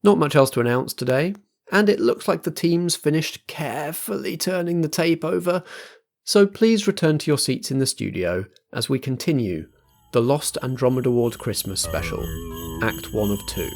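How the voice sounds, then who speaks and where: A man's voice: a little high, animated, normal speed, gravelly. A man hosting a show, giving a scripted announcer-style introduction. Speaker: show host.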